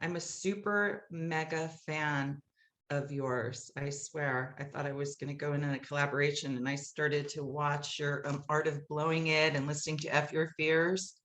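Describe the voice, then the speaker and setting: A woman's voice: low-pitched, steady tone, normal speed, a bit bright. A woman speaking casually and conversationally as a guest in a podcast interview. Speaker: podcast guest, musical writer.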